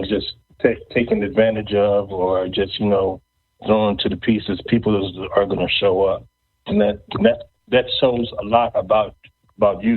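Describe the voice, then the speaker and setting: A man hosting a casual interview show, speaking haltingly and often repeating words. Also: lowish voice. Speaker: podcast host. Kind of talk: casual interview and event announcements. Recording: thin phone-like, band-limited audio.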